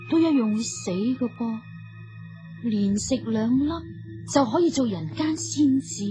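An actress playing a tender, hesitant young newlywed wife in a radio drama. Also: female voice, sits high. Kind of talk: Cantonese radio drama acting.

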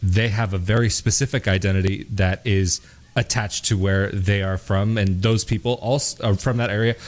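A man's voice: on the low side, steady tone, moderate pace. A young man with a Portuguese accent hosting an interview podcast, talking at length with fillers into a studio microphone. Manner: chatty, explains at length with fillers. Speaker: podcast host. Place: home studio, in front of a picture backdrop. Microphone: studio mic on a boom, with headphones.

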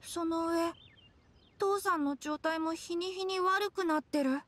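A woman's voice: very high-pitched, a little slow, fairly bright tone. A woman voicing an earnest, worried young boy in a Japanese anime game. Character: earnest young boy worried about his missing father.

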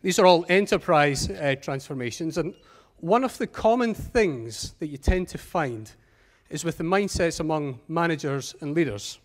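A Scottish man in middle age presents a keynote from a large auditorium stage. His voice sits a little high, and he talks in a measured, earnest way, wearing a headset mic. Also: expressive. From Scotland. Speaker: conference speaker.

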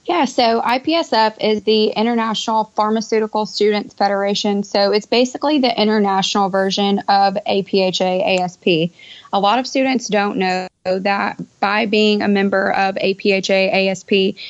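Even-toned woman in her thirties, slightly dull audio. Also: mid-range voice, normal speed, no echo. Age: 30s. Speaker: podcast guest, pharmacist. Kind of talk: conversational interview answers. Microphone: internet voice call.

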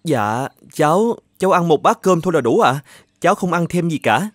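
A man voicing a poor, polite young student in an animated moral tale, hesitant and deferential as he talks. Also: fairly high pitch, moderate pace, no echo, clean studio sound. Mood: shy, polite.

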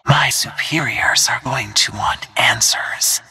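A deadly covert assassin lady for a video game, hissy breathy whisper, super slow n menacing. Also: extremely low pitch, bright, forceful tone, faint music behind, heavily acted. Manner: menacing, controlled, deliberate. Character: cold, deadly, devoted covert female assassin. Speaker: video game character voice actor. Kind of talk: video game combat voice lines.

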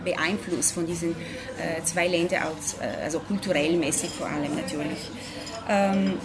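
A woman in her thirties from Italy, a pianist speaking German with an Italian accent, presenting her concept at a press conference and often pausing with fillers while she searches for words. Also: medium pitch. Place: ornate café room with audience. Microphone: table microphone at a distance. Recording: room with a loud crowd.

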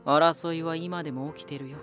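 An actor playing a proud, ominous lighthouse spirit hardened by war, deliberate, cryptic and condescending, in a dramatic voice drama. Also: male voice, fairly high pitch, fairly even intonation, fairly bright tone, faint music behind, natural voice. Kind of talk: dramatic Japanese voice drama acting.

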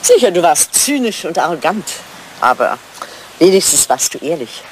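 Animated, teasing elderly lady. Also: fairly low pitch, moderate pace, slight background noise, rough recording. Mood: probing. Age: older. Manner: dry, probing, teasing. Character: elderly English lady, teasing, sharp-tongued widow. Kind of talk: German radio play acting.

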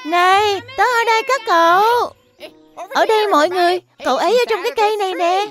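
A woman voicing an excitable, friendly little bear cub in a children's cartoon dub. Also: very high-pitched, fairly quick. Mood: excited. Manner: eager, exclamatory, childlike. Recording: background music under dialogue.

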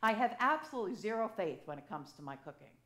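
A woman's voice: mid-range voice, big pitch swings, quick pace, a bit bright. Storytelling woman priest in echoey church. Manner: conversational, personal storytelling with gestures. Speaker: assisting priest. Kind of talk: sermon. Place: church sanctuary, at a pulpit. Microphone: gooseneck pulpit mic.

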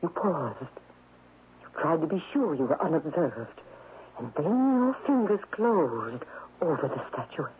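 An actress playing an imperious, wealthy widow in a radio drama, sharp and unyielding, with precise, haughty, formal diction. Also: female voice, low voice, sing-song, measured pace, noisy background. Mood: coolly accusing. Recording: muffled lo-fi old radio broadcast.

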